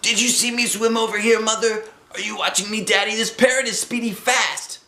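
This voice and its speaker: Storybook little girl, acted by a man: high, bright, stubborn and dramatic, pleading or boasting, roomy sound. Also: fairly even intonation, a little fast. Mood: thrilled, boastful. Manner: exclamatory, repeats herself, dramatic.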